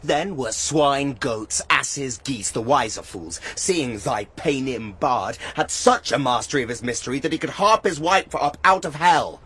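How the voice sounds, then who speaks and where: An actor playing a proud, embittered knight in an audio drama, declaiming archaic verse with deliberate scorn. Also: male voice, medium pitch, animated, a bit bright, breathy edge. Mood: bitter scorn.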